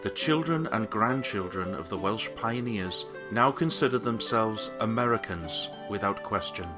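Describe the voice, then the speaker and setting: A man's voice: on the low side, animated. Man narrating a heritage documentary. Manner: measured, solemn delivery. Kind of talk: scripted narration. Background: guitar music.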